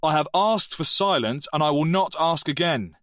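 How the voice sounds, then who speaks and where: A man's voice: fairly high pitch, expressive, moderate pace, fairly bright tone, dry recording, clean studio sound, pitched above the performer's own voice. A man voicing a strict, exasperated male French teacher who raises his voice. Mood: stern. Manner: stern, raised voice.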